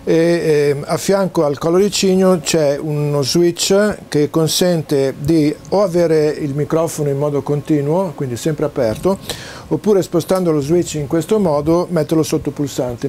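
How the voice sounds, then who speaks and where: Casting: man, 60s, soft-toned hesitant technical presenter, informal home demo, audible background noise. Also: fairly high pitch. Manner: hesitant, halting, explanatory. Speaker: presenter demonstrating home-built audio and video gear. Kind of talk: informal technical explanation to camera. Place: small cluttered home study, indoors.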